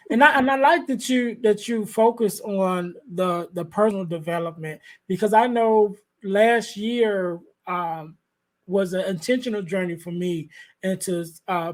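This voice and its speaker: Guy with a really light high voice hosting a podcast, soft-spoken, keeps restarting his sentences. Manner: halting, many restarts and fillers, soft-spoken. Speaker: podcast host. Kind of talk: conversational interview. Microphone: podcast microphone.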